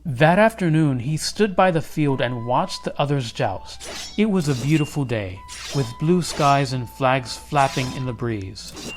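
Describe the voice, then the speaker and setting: Expressive male storybook narrator, lively intonation. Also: medium pitch, unhurried, faint music behind. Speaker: read-aloud narrator.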